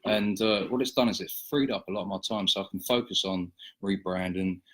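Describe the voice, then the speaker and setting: Low husky-voiced man, hesitant. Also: fairly quick, a bit bright, poor audio. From England. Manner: hesitant, many fillers and restarts. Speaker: guest, small business owner. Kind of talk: casual interview chat.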